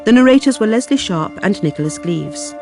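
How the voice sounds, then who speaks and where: A broadcast announcer reads the closing credits of a radio drama. The delivery is scripted and orderly. Music plays clearly underneath. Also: medium pitch, lively intonation, fairly quick.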